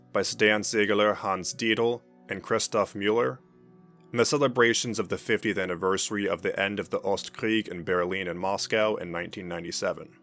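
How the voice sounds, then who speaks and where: Male narrator, lower register, formal measured read of a clinical fiction report, light music bed. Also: a bit bright.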